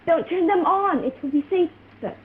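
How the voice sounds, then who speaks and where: A woman playing a frightened, secretive spy on the run in a radio play, pleading and urgent in halting phrases. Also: very high voice. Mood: urgent, afraid. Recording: tinny phone-like video call, faint noise.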